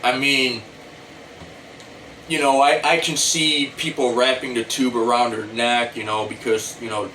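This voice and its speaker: A middle-aged man with an American accent gives an informal tutorial to camera, explaining things deliberately and repetitively. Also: medium pitch, fairly bright tone. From United States. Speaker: talk box player giving tutorial. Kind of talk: informal instructional talk to camera. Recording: distant mic, faint air-conditioner hum.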